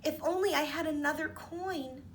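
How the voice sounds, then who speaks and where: A woman voicing a tiny kind-hearted female tooth fairy in a storybook reading, her voice lifted high and earnest. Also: moderate pace, a little hiss or hum, natural voice. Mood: distressed.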